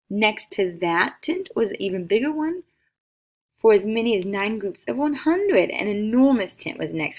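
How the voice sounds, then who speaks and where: A woman reading a children's picture book aloud as an expressive storyteller. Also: mid-range voice, vocal fry.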